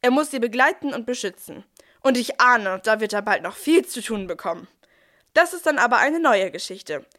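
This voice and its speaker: A woman's voice: a little high, lively intonation, moderate pace, fairly bright tone. A woman playing a cheerful, capable archangel who narrates a Nativity audio play in plain, youthful storytelling. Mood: storytelling, assured.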